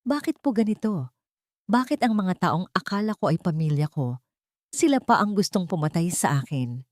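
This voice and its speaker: A woman's voice: fairly low pitch, animated, moderate pace, no room sound, studio quality, close to an everyday voice. Plaintive, heartbroken young wife acted by a woman. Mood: anguished. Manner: plaintive, whispered lament. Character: betrayed young wife, meek, heartbroken and pleading. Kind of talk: dramatized audio story.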